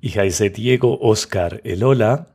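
Argentine man, low voice, halting German. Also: creaky, darkish tone, husky. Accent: Argentine Spanish. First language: Spanish. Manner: halting non-native German, searching for words. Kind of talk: conversational interview answers.

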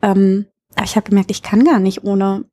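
A woman's voice: mid-range voice, steady tone, fairly quick, mellow. A woman in her thirties from Berlin, chatting casually and openly as the guest in an interview. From Berlin, Germany. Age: 30s. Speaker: interview guest, bar owner.

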